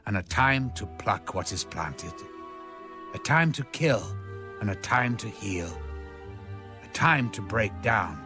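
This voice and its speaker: Music plays behind a man reading scripture as a solemn narrator. His voice sits fairly low and moves expressively up and down in a measured, formal cadence. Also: expressive, bright, forceful tone. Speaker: audio Bible narrator.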